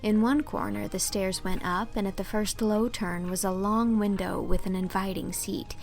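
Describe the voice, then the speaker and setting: A woman reading scripted literary narration as an audiobook narrator, in an expressive storytelling manner. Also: mid-range voice, normal speed, faint music behind.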